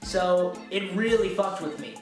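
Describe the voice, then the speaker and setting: Nasal guy talking quick and blunt, super roomy sound, music playing underneath. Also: high-pitched. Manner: quick, candid, swears casually. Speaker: rapper interviewed about his album. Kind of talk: candid documentary interview. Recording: very echoey room, distant mic, music underneath.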